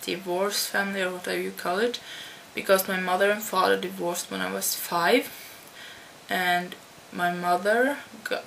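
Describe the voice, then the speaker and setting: Slow, halting teenage girl vlogging. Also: mid-range voice, gravelly, fairly bright tone. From Netherlands. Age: teens. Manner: slow and halting, with many restarts. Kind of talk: casual talk to camera answering a tag. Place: at home by her computer. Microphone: computer webcam. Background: faint hum of computer fan.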